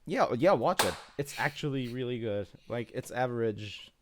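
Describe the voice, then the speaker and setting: A man's voice: mid-range voice, lively intonation, moderate pace, background noise. A man chatting casually as a podcast host, emphatic and full of swearing.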